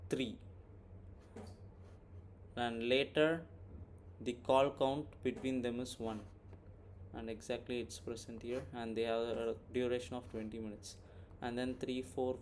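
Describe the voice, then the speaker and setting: Man, mid-pitched voice, informal tutorial, thinking aloud, frequent checking tags, audible typing and background noise. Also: darkish tone. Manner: thinking aloud, often adding "right?" as a check. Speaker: coding tutorial presenter. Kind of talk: informal screen-recorded tutorial walkthrough. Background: computer keyboard typing, background noise.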